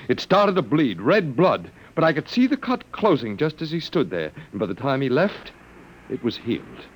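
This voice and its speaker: An actor playing a wry, resigned male academic in an old radio drama, lecturing with hesitant repetitions. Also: mid-range voice. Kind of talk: classic radio drama acting. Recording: noisy old radio recording.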